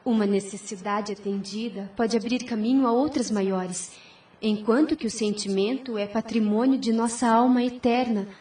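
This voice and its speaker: High-voiced, principled teenage girl played by a woman. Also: steady tone, normal speed, dark tone, recorded from a distance, natural voice. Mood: earnest, reasoning. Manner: formal, earnest. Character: virtuous, principled, composed sixteen-year-old daughter. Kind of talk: audio drama acting.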